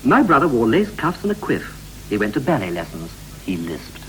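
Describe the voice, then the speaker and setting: An actor playing a wistful British husband recalling his boyhood in clipped, poetic verse with deliberate pauses, in the style of a 1960s radio play. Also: mid-range voice, huge pitch swings, low-quality recording. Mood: reflective, rhythmic. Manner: incantatory short phrases, deliberate pauses. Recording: vintage 1960s radio recording with hum and hiss.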